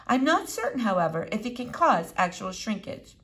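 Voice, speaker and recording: A woman's voice: mid-range voice, sing-song, moderate pace, fairly bright tone. A woman voicing a pompous, long-winded eleven-year-old boy with oddly formal, precise speech in a children's audiobook. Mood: formal, matter-of-fact.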